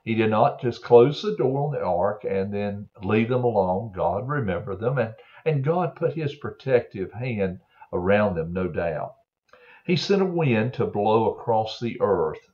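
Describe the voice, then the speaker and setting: An older man preaching a Bible story to children in a slow, earnest way, with many fillers and throat clearing, recorded on a webcam in a home office. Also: lowish voice, lively intonation. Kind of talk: gentle storytelling sermon for children. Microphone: webcam on a desk. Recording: dull lo-fi webcam audio.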